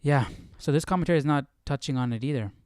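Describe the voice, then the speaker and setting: A man hosting a live Bible study, reading and commenting casually in a musing, questioning, halting way. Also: mid-range voice, a little mellow, no room sound, clean studio sound.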